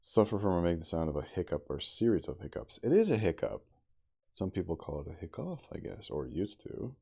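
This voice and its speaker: A man reading a story aloud in a hushed ASMR style, with casual asides. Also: low-pitched, big pitch swings, a little fast, gravelly, slightly rough. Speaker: reader, livestreamer.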